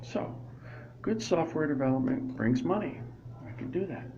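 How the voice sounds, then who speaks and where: A man's voice: on the high side, lively intonation, moderate pace, vocal fry, lo-fi sound, noisy background. A man rambling through a live stream from inside a public library, full of restarts and asides. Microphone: phone or laptop camera.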